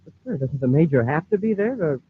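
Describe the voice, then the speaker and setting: Man playing an eager, animated young space cadet. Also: fairly high pitch, fast talker, slight background noise, close to an everyday voice. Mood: eager, chatty. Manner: excitable, deferential, puzzled. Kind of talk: 1950s radio adventure serial acting. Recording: tinny, band-limited old radio recording.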